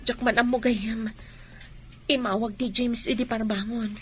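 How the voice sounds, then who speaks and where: A woman's voice: a little high, steady tone, unhurried, a little hiss or hum, natural voice. A woman playing an anxious, earnest soldier's wife in an audio drama. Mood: worried. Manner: fretful, earnest.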